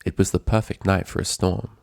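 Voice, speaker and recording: A man reading a scripted story as narrator, in a measured, dramatic storytelling manner. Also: low voice, flat delivery, vocal fry, darkish tone, dry recording, clean studio sound.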